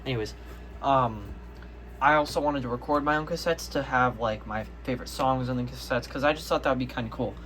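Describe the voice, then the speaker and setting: A man's voice: medium pitch, a little fast, fairly bright tone. A young adult man gives a casual, unscripted show-and-tell in a rambling, easily sidetracked way. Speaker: hobbyist video maker. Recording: noticeable background noise, amateur home video.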